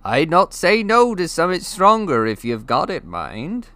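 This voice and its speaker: A man's voice: fairly high pitch, huge pitch swings, measured pace, bright, forceful tone, no echo, pitched above the performer's own voice, exaggerated voice. A man voicing a huge, brash, jovial bearded giant in a storybook reading, with folksy, colloquial speech and dropped endings.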